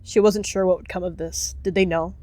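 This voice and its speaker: A woman's voice: on the low side, fairly even intonation, brisk, mellow. A woman narrating a dramatic roleplay story as a storyteller.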